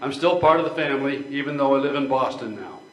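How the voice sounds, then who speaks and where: A genteel, self-deprecating would-be actor in a period stage play, read by an older man. He confides conversationally in a mid-pitched voice. The room echoes strongly around him. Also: fast talker, close to an everyday voice. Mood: reminiscing. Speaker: amateur readers theater performer.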